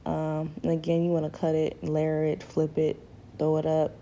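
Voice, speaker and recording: Hoarse, gravelly young woman vlogger. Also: deep voice, normal speed, slight background noise. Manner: chatty, relaxed, addresses viewers as ladies and honey. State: hoarse from a cold. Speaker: beauty vlogger. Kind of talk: casual hair tutorial. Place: small bedroom at home, indoors. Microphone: camera mic, seated close in front.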